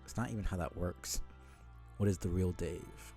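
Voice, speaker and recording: Low-voiced, mellow man streaming casually. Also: normal speed, faint music behind. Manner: blunt, frequently swearing, rambling. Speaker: streamer reading a webcomic aloud. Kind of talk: casual live-stream commentary and read-aloud.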